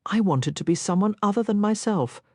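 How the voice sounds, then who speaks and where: A woman's voice: mid-range voice, sing-song, normal speed, warm tone, no room sound, clean studio sound, pitched above the performer's own voice. Woman voicing a flustered, tearful young lady. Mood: defiant. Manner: babbling, apologetic, tearful. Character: flustered, remorseful young gentlewoman.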